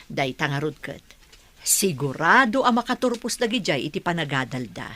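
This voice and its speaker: A woman's voice: sits low, very animated, normal speed, sharp tone, full character voice. A woman playing a kindly, encouraging middle-aged mother and aunt in a radio drama. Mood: thoughtful, hopeful.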